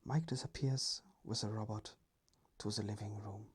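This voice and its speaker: A storyteller narrating a scripted children's tale in a soft, breathy ASMR whisper. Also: medium pitch, fairly even intonation, moderate pace.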